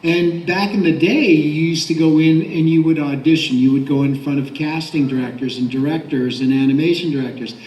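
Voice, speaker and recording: A middle-aged man with a slightly high voice talks on a convention panel, picked up at a distance in an echoey room. He rambles casually through anecdotes like an industry veteran. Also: fairly even intonation, fairly quick, hardly any pauses, darkish tone. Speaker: voice director and actor, panelist. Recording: roomy convention hall, distant mic.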